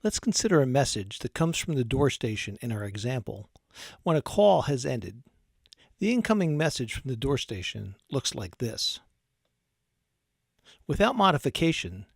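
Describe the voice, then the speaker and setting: A man narrating a scripted technical tutorial as an instructor, explaining in a measured, methodical way. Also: medium pitch, creaky, dry recording, studio quality.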